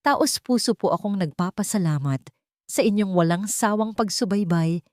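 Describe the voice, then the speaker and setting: A woman reading scripted romance narration as a storyteller, in a measured, sentimental way, phrase by phrase. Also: medium pitch, animated, a little mellow, dry recording, studio quality.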